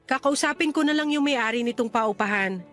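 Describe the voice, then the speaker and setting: A woman's voice: a little high, a little fast, a bit bright, faint music behind, lightly acted. A woman playing a friendly but guarded landlady in her thirties in a radio drama, chatty and teasing. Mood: helpful, curious. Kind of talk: radio drama acting in natural conversation.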